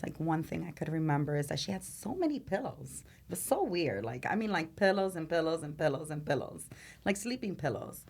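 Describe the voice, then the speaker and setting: Low-voiced candid woman. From Venezuela. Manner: candid, laughs often, many fillers like "you know". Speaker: guest, nightlife promoter. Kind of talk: casual interview chat.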